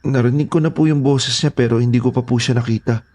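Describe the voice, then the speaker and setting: An actor playing a polite, eager young houseboy, earnest and deferential, in a horror audio drama. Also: male voice, mid-range voice, steady tone, fast talker, warm tone, lightly acted. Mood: humble.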